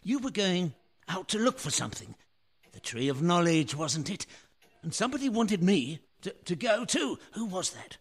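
A man acting an excitable, bewildered painter in a radio play. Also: high voice, normal speed, slightly rough, heavily acted. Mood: bewildered, anguished. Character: excitable bewildered painter, doting father, dawning memory.

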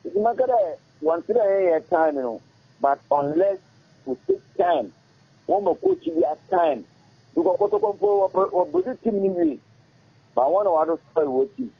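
A middle-aged man with a Ghanaian accent, an interview guest speaking in a halting, repetitive way and mixing languages mid-sentence, in a radio studio. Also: sits high, wildly swooping pitch, creaky, breathy edge, no echo. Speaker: interview guest, former footballer. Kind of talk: casual interview talk. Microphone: studio microphone on arm. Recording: muffled phone-like audio.

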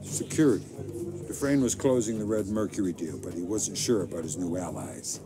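An actor playing a hard, weary, sardonic middle-aged covert agent in a video game, speaking in a terse, dry way. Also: male voice, sits low, fairly quick, noisy background. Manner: terse, dry, matter-of-fact. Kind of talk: video game cutscene acting, terse recounting. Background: loud dramatic music.

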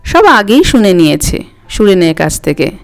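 A woman's voice: fairly low pitch, very animated, moderate pace. A woman voicing an elderly grandmother who is coy, wistful and fondly scolding in a dramatic story reading. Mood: reminiscing. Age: older. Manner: coy, reminiscing, mildly snappish. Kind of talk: dramatic audiobook character reading. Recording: music under the voice.

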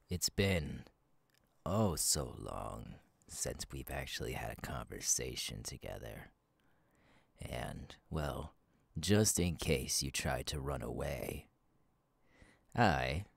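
A man playing a menacing, taunting masked slasher killer in an ASMR roleplay, gravelly and partly whispered, speaking slowly and deliberately close to the mic. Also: a bass voice, no room sound, full character voice. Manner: slow, deliberate, taunting, drawn-out pauses. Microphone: close microphone.

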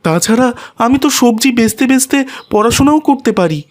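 An eager young schoolboy played by an actor from an animated cartoon. He speaks in an earnest, childlike way, always polite and dutiful toward his parents. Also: medium pitch, fairly quick, natural voice. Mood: earnest, proud.

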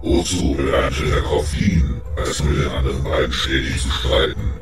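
A man voicing a huge, menacing, scornful hellhound in a fantasy audio drama, slow and haughty, with a rumbling, pitched-down, booming effect. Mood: cynical, scornful. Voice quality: deep, rumbling, gravelly. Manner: slow, archaic, haughty address. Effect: pitched-down, booming reverb. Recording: heavy echo, distant, loud music.

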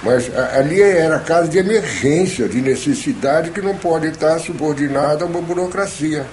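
A man's voice: fairly high pitch, normal speed, noisy background. An older man in a documentary interview, speaking emphatically in his own defense.

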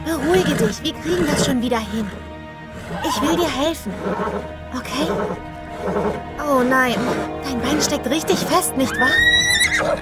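A woman playing a brave, gentle young rider in an animated adventure, with a caring manner. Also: high voice, takes it slowly, pauses often, over loud music, full character voice. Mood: soothing, concerned. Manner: caring, soothing.

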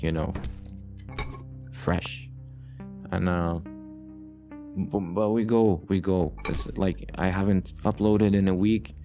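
Guy with a lowish voice doing a chatty lets play, rambly n playful, repeats himself, music playing behind him. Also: warm tone. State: short on sleep. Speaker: gaming YouTuber, host. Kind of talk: casual let's play commentary.

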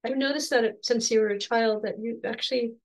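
A slightly hoarse woman in her forties asks soft, encouraging questions in a fairly high voice. She talks over a webcam from a home room lined with bookshelves. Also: fairly even intonation, a little fast. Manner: soft, encouraging, with frequent restarts and light laughs. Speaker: podcast host interviewing her son. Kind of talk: gentle conversational interview.

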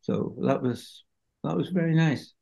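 An older man from Scotland, a film composer talking slowly and hesitantly on a video call from home. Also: fairly high pitch, gravelly, mellow. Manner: slow, hesitant, many fillers. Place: home room.

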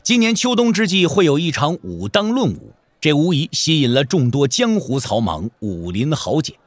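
A male narrator tells a martial-arts tale with theatrical emphasis. His voice sits slightly high and moves widely in pitch, drawing out key endings, in a dry studio sound. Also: sing-song, normal speed, dry recording. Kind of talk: dramatic scripted audiobook narration. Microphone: close studio microphone.

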